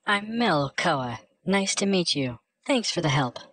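A man's voice: sits high, sing-song, a little slow, fairly bright tone, husky, dry recording, voice pushed up, exaggerated voice, rough recording. A man voicing a feisty female alien crew member in a sci-fi story, snappy and brash. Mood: brisk greeting. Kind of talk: sci-fi audiobook character.

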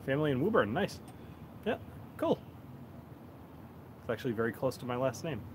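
A laid-back man in his thirties hosts a casual livestream from a backyard deck. He rambles along in a mid-pitched voice, picked up by a phone with clear outdoor noise around him. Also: big pitch swings, moderate pace. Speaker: whiskey reviewer, livestream host. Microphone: cell phone on a tripod. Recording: noisy outdoor phone recording.

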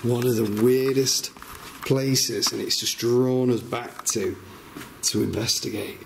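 A man on a night-time ghost hunt speaks in a creaky, fry-edged voice at a very unhurried pace. He comments on his surroundings and challenges spirits, with clear background noise around him. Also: medium pitch, fairly even intonation, low-quality recording. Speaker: paranormal investigator. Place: inside a cave at night.

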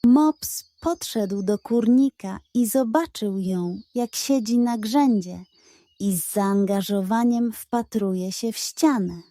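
A woman narrating a children's bedtime story in an expressive, scripted style. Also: mid-range voice, slow pace, no echo.

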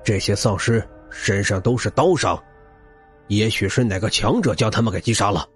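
A man's voice: fairly low pitch, normal speed, faint music behind, pitched below the performer's own voice, close to an everyday voice. A man voicing a burly, fierce gang boss with a commanding, suspicious manner. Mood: wary.

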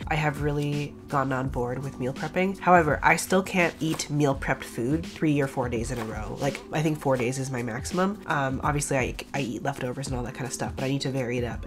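A woman in her thirties, stuffy from a cold, chatting through a cooking voice-over with many rambling asides and "like"s. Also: rumbling low. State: congested from a cold. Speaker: vegan cooking channel host. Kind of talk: casual voice-over cooking tutorial.

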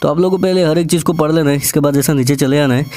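Fast-talking Indian man explaining steps. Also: on the high side, a little mellow. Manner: repetitive step-by-step explaining, addressing viewers directly. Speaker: job vacancy channel presenter. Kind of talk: screen-recorded tutorial voice-over.

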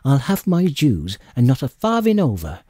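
A man's voice: mid-range voice, wildly swooping pitch, normal speed, dark tone, husky, dry recording, studio quality, voice pushed up. A man voicing an honest, stubborn, frightened innkeeper mother in an audiobook, speaking insistently. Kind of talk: audiobook character dialogue.

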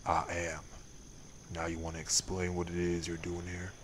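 A man's voice: low voice, monotone, moderate pace, slight background noise, natural voice. A man playing a weary old male wraith in an audio roleplay, with a Southern US accent and a stern, folksy manner. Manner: folksy, stern, exasperated drawl. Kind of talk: dramatic audio roleplay acting.

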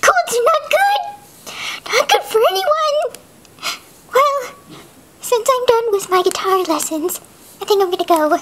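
Jittery little girl, as the performer puts her on; squeaky, very high, rambling worriedly, faint hiss. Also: animated, unhurried, long pauses, a bit bright, exaggerated voice. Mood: anxious. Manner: anxious, rambling, breathless. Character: nervous rambling young girl.

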